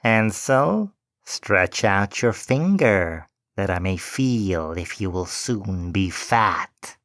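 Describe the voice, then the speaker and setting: A man voicing a cruel, mocking old witch in a storybook reading, slow and muttering. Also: deep voice, animated, a bit bright, dry recording, studio quality, full character voice. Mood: menacing.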